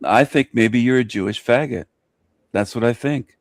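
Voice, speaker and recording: A man's voice: mid-range voice, normal speed, no room sound, studio quality. A man in a heated online argument, hurling repetitive hostile insults.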